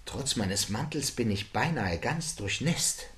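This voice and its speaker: Male actor as grumbling gentleman, slow, animated pitch, theatrical, period radio drama, clearly noisy background. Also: natural voice. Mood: disgruntled. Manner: drawn-out, theatrical complaining. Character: grumbling gentleman arriving from foul weather. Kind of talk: German radio play acting, period literary dialogue. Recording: clearly noisy scene with clicks.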